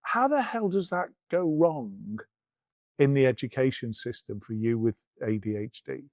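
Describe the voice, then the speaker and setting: A man's voice: mid-range voice, lively intonation, a little slow, darkish tone, no room sound, studio quality. Probing male interviewer. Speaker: podcast interviewer. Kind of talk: conversational interview.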